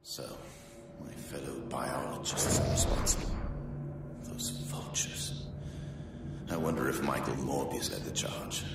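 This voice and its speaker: An actor playing a grave, wary scientist in a video game, gravelly and articulate, with an ominous, deliberate delivery. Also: male voice, rumbling low, little pitch movement, heavy background noise. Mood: brooding. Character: grave male scientist, wary asylum-held former monster. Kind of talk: scripted video game acting. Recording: music behind, at times echoey and distant.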